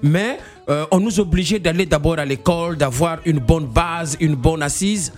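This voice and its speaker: A man with a fairly high voice, chatting as a guest on a radio show. He is emphatic and repeats his phrases. Soft music sits behind him. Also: normal speed. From Brazzaville, Congo. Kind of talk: conversational radio interview.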